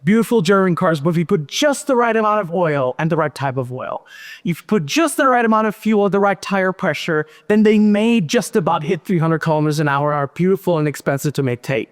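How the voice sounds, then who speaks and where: A man in his thirties giving a lively, joking tech conference talk from a large hall stage. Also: high voice, brisk. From Pakistan and Switzerland. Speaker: conference speaker. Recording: hall reverb.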